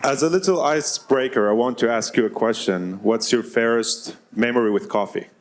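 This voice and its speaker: A man's voice: mid-range voice, normal speed, slight background noise. A man moderating a live panel, introducing guests and putting questions to them. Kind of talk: event moderation. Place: live event stage.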